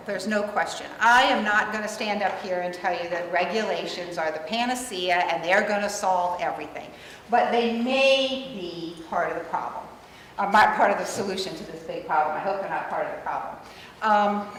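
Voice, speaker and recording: Middle-aged american doctor lady giving a talk, loud room echo, heard from across a hotel conference room. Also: medium pitch, a little hiss or hum. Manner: conversational, emphatic, repeats points. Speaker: physician speaker. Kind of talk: conference presentation. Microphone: no mic, room pickup. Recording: echoey hall from far off.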